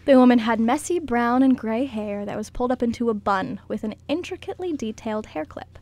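A woman's voice: on the high side, brisk, darkish tone. A woman reading scripted narration for a radio play as its narrator.

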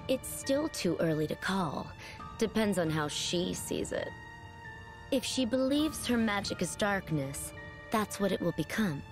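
A woman voicing a smug, taunting young villainess in a video game, with a sarcastic, condescending drawl. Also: medium pitch. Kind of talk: video game cutscene acting.

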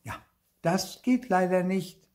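Man voicing a cheeky high-pitched schoolgirl. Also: big pitch swings, a little slow, dark tone. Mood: smug. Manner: insistent, defiant, boastful. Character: cheeky, bossy schoolgirl, the older sister.

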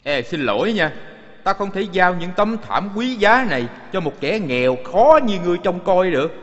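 An actor playing a haughty, scornful carpet merchant in an animated drama. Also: male voice, high voice, normal speed, big-room sound, dull audio. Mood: scornful dismissal. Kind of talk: dubbed animated Buddhist drama.

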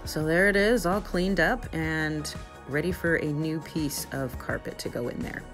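A young woman narrating her renovation vlog in a casual, bubbly and excited way. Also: sits low, a little slow, over music. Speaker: vlogger.

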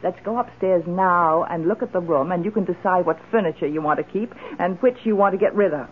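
An actress playing a self-assured, decisive, well-off middle-aged widow in a radio drama. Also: female voice, on the low side, a little fast, a little hiss or hum, close to an everyday voice. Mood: brisk, eager. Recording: muffled old radio broadcast recording.

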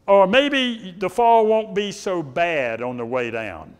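A middle-aged man with a loud, carrying voice, preaching a sermon in a church sanctuary. He paces and gestures and asks the congregation rhetorical questions. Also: high-pitched, slow pace, smooth, big-room sound. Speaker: pastor.

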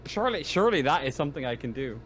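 A man playing a tabletop game, chatting casually in an animated, sweary way. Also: fairly high pitch, very fast, slight background noise. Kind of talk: casual game chat over online session.

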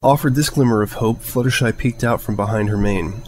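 Low-voiced man reading a story. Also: a little fast, a little mellow, husky. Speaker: fan fiction narrator. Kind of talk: scripted story narration.

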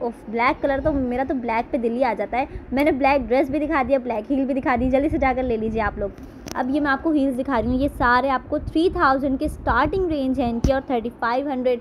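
Young female shopping vlogger, Pakistani accent, high voice, chatty and informal, noisy ambience. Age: young adult. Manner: chatty, addresses viewers directly. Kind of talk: casual shopping vlog narration.

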